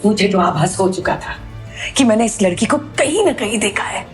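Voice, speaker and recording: A woman's voice: medium pitch, expressive, normal speed, faint music behind, lightly acted. A woman playing an inquisitive, affectionate, insistent aunt in an audio drama. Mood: musing. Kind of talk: Hindi audio drama acting.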